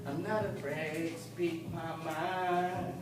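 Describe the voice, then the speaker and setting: A man's voice: a little high, steady tone, slow pace. A young man performing his own rap with a sung hook at a graduation ceremony. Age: young adult. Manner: rhythmic rapping with sung hook. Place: large hall at a graduation ceremony. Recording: echoey hall from far off.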